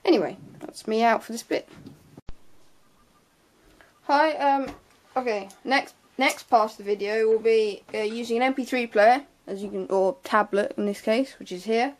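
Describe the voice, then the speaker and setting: A woman's voice: fairly high pitch, normal speed. A young woman gives an informal, unscripted hands-on tutorial in a hesitant, offhand way, trailing off into asides. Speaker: sound tech tutorial presenter.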